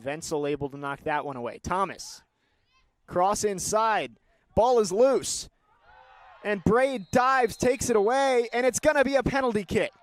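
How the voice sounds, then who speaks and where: A young man with a slightly high voice delivers live sports commentary in a close, dry sound. He describes play in a measured way and lifts into excited calls when the action builds. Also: no echo. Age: young adult.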